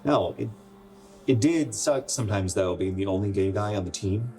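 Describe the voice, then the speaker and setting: A young man playing a wistful, self-conscious 27-year-old in a naturalistic radio drama, speaking in a halting, conversational way. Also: lowish voice, a little mellow, close to an everyday voice. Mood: hesitant, reflective. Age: young adult.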